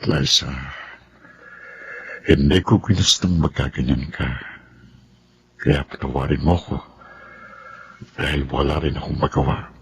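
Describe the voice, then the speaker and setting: Deep, gravelly Filipino stepfather. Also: fairly even intonation, a little slow, pauses often, a little hiss or hum, heavily acted. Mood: heavy-hearted. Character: gentle, burdened middle-aged stepfather, apologetic and weary. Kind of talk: Filipino radio drama acting.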